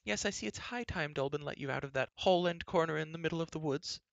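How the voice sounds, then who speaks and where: A man's voice: a little high, gravelly, dry recording, muffled recording, voice pushed up. Man putting on a fussy, bossy storybook queen. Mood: fussy scolding. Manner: scolding, brisk and bossy. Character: fussy bossy chattering stout queen, middle-aged woman.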